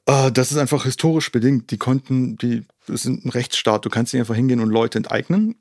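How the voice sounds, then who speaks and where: A man's voice: medium pitch, fairly quick, a little mellow, dry recording, studio quality. A man from Germany explaining things in detail as an interview guest, often checking in with a short tag question. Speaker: conservation organisation co-founder, interview guest.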